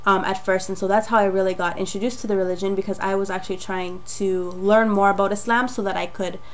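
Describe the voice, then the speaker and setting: Raspy young woman from Jamaica. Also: medium pitch, steady tone, fast talker, a little hiss or hum. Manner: chatty and rambling, frequent fillers. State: getting over a cold. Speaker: vlogger. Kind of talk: casual personal testimony to camera.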